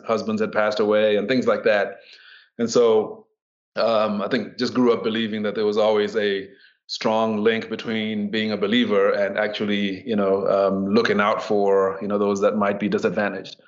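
A man's voice: fairly low pitch, highs cut off. A middle-aged man from Zimbabwe, a podcast guest telling his story with many fillers and restarts. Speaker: guest, ministry executive director. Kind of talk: conversational storytelling.

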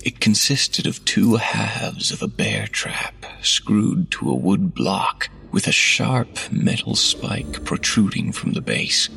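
Man, horror story narrator, gravelly, hushed, measured, slow. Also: lowish voice, sing-song. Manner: measured, hushed, dropping to whispers.

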